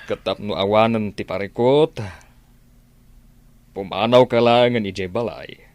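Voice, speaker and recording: A man's voice: lowish voice, steady tone, a little slow, a little mellow, no echo, lightly acted. A man acting an authoritative, pleading middle-aged father and boss in an Ilocano radio drama. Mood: firm, persuading.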